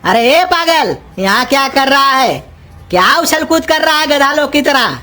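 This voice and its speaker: Cranky old cartoon uncle, played by a woman: high-pitched, exasperated scolding. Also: expressive, unhurried, pushed and bright, heavily acted. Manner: gruff, insulting, exasperated scolding. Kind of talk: cartoon comedy dialogue in rustic Hindi.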